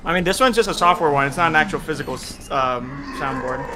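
A man's voice: fairly high pitch, fast, a crowd in the background, rough recording. A young adult man chatting casually and playfully with friends during a game. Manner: playful, joking. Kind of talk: casual chat. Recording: loud game music behind the voice. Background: loud video game music.

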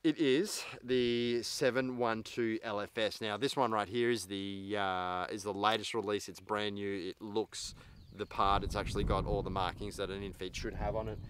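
A man's voice: fairly low pitch, steady tone, normal speed, a bit bright, slight background noise. A man in his thirties giving a casual, blunt and opinionated product review to camera as a vlog host. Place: outdoors on a parked boat in a grassy yard.

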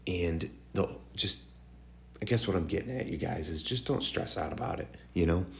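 A man's voice: low-pitched, animated, moderate pace, vocal fry. A man with a slightly nasal, congested morning voice giving a casual, rambling tutorial voice-over straight to viewers. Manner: informal, rambling, addresses viewers directly. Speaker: host of woodworking tutorial channel.

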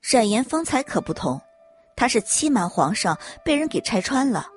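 A woman reading scripted dramatic audiobook narration in an expressive storytelling manner. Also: on the high side, normal speed, husky.

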